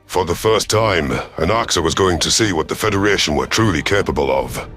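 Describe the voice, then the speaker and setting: A man voicing a proud, gruff reptilian predator commander who narrates a sci-fi story in the first person, stern and formal. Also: low-pitched, expressive, a little fast, a bit bright, soft background music. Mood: grave narration. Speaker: narrator in character.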